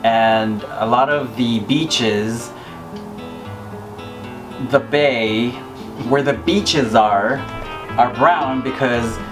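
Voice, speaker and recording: A man's voice: medium pitch, far from the mic, poor audio. A man whose first language is Cebuano, co-hosting a casual travel chat. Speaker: travel podcast co-host. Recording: off-mic, guitar music behind. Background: acoustic guitar music.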